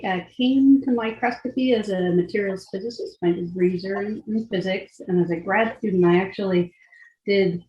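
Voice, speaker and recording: A woman's voice: mid-range voice, a little mellow. A woman speaking as a scientist panelist on a video call, giving an informal account of her career. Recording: echoey, dull video-call audio.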